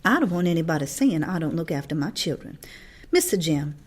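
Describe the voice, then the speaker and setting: A woman's voice: low voice, sing-song, a little fast. A woman voicing a stern, protective Southern housekeeper in a storybook, firm and scolding. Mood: proud, firm.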